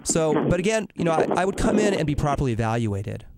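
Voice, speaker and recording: A man with a raspy, hoarse voice from laryngitis, explaining cosmetic treatments as a surgeon on a call-in radio show, with frequent ums and restarts. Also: a little high, very animated, fast talker, very noisy. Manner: explanatory, many fillers and restarts. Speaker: dermatologic surgeon, radio show guest expert.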